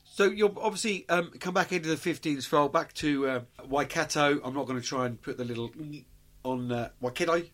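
A man's voice: on the high side, fairly quick, a bit bright. A man hosting a sports interview, chatting freely and often restarting his sentences. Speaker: interview host.

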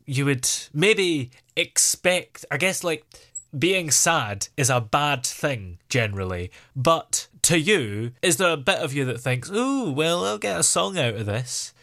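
A man hosting a podcast interview, asking measured, thoughtful questions. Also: a little high, big pitch swings, pushed and bright. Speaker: podcast host.

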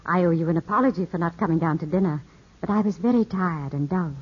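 Gracious english widow in a 50s radio play, soft husky voice, composed, dull old recording. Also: female voice, lowish voice, fast talker. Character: poised, gracious, gently teasing English widow. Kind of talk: 1950s radio drama acting. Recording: muffled 1950s radio recording.

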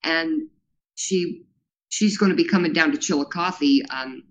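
A woman's voice: deep voice, unhurried, dull audio, a little hiss or hum. A woman being interviewed as a grassroots organizer, talking in an earnest, rambling way with many fillers and a powerful voice. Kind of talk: casual interview talk.

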